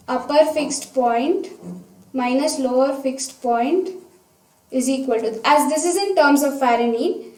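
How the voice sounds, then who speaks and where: High-voiced woman teaching in an echoey room. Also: dark tone. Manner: methodical, talking through each step aloud. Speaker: science teacher. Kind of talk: step-by-step worked problem explanation. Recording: roomy echo, distant microphone.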